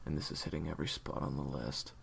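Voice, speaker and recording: An actor playing a dry-humored, menacing enforcer in an audio drama, delivering threats deadpan. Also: male voice, extremely low pitch, fast, gravelly, slight background noise, full character voice.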